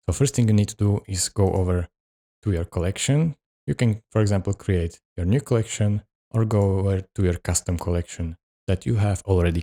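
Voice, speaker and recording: A man hosting a step-by-step software tutorial, narrating each instruction. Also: deep voice. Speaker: tutorial host.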